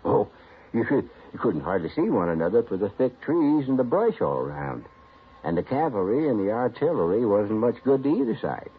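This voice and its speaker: A man's voice: lowish voice, very animated, moderate pace, breathy edge, full character voice. A man giving a folksy, drawling spoken introduction in a vintage radio broadcast. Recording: tinny old radio broadcast.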